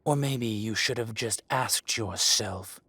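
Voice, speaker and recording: A man playing a menacing, possessive dragon-shifter mafia boss, speaking in slow, condescending threats in a dramatic audio roleplay. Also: fairly low pitch, sharp tone, slightly rough, no echo, full character voice. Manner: slow, deliberate, condescending threats with heavy pauses. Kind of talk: dramatic roleplay monologue addressed to the listener.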